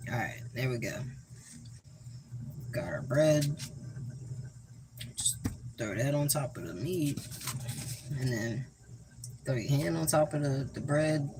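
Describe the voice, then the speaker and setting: A young man with a Southern US accent casually talking through a cooking vlog in a flat, monotone, deadpan way, rambling and joking. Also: medium pitch, unhurried, long pauses. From American South. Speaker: cooking vlogger. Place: home kitchen. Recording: noisy home recording.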